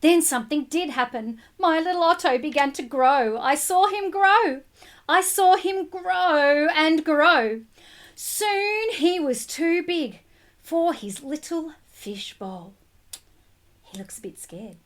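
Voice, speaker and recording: Animated high-voiced woman reading to children. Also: takes it slowly. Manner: expressive, addresses children directly, explains simply. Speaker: teacher reading a picture book aloud to children. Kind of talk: animated storybook read-aloud with asides to children.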